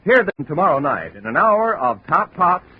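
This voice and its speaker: A man's voice: fairly high pitch, big pitch swings, normal speed, husky. A man with an American accent announcing in a scripted 1950s radio promotional style. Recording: muffled 1950 radio recording, faint noise.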